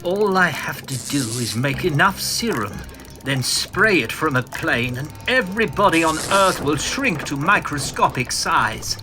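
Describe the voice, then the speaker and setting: An actor playing a small, bitter, frantic mad professor in a vintage comic audio drama, agitated, halting and melodramatic. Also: male voice, fairly high pitch, very animated, background noise. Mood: bitter, boastful.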